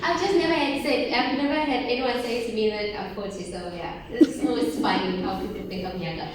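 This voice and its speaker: A woman in her forties from South Africa, giving a lively motivational talk to teenage girls and asking them questions as she goes. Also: on the high side, a little fast, very echoey, recorded from a distance, crowd noise. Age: 40s. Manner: lively, asks the audience questions often. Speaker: workshop facilitator.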